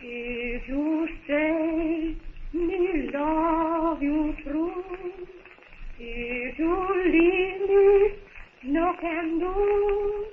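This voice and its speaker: An actress playing a dreamy young jungle heroine in love, crooning wistfully in 1930s radio style. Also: female voice, very high-pitched, drawn-out words, exaggerated voice. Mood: wistful, tender. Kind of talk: 1930s radio acting, singing softly. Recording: scratchy, tinny 1930s radio recording.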